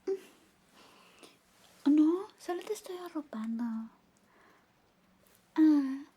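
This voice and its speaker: Woman acting out high, whispery, flirty best friend. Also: lively intonation, very slow, highs cut off, full character voice. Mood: tender, playful. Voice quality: soft, breathy whispering mixed with soft voice. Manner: coaxing, intimate, lingering. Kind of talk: Spanish ASMR roleplay, whispered personal attention.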